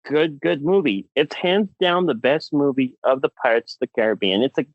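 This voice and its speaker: A man's voice: mid-range voice, normal speed, no room sound, dull audio. A man hosting a casual podcast, bantering with playful, mock-outraged showmanship. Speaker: podcast host.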